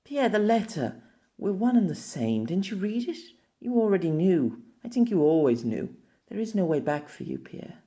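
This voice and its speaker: A man voicing a gentle, sad young woman who talks softly and earnestly. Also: high voice, sing-song, moderate pace, pitched above the performer's own voice. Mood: sorrowful.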